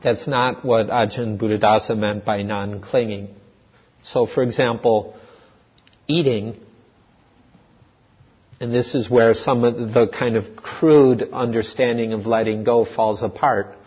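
A man gives a slow, reflective dharma talk in a meditation hall. His voice sits low and a little husky. The recording sounds dull and lo-fi, with a long reverb trailing off the walls. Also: fairly even intonation. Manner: slow, thoughtful, with restarts and pauses. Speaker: dharma teacher.

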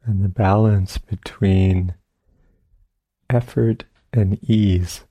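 A man leading a guided meditation as a teacher, speaking gently in short phrases with long, unhurried pauses. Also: lowish voice, fairly even intonation, no echo. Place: retreat center meditation session.

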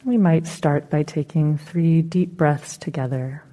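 A woman meditation teacher leading a guided meditation in a soothing, unhurried, gently instructing manner. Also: low voice, poor audio.

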